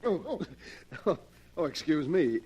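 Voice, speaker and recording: A man's voice: on the high side, sing-song, slow, lo-fi sound. An actor playing a polite, flustered young man in a 1940s radio drama, stammering a little and apologetic. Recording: very noisy old radio recording.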